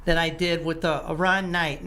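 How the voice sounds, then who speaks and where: An older man, a guest musician, telling his story in a halting, casual way with many restarts and some throat clearing. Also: a little high, flat delivery, pushed and bright. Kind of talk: casual interview talk.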